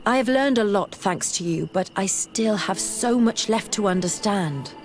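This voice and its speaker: An earnest, inquisitive young woman scholar voiced by an actress in a fantasy game. She is polite and formal, at an average pitch, with music clearly behind her. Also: lively intonation, a little slow, a little mellow, lightly acted. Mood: earnest, respectful. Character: earnest, curious, respectful young female scholar outsider. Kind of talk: video game dialogue acting.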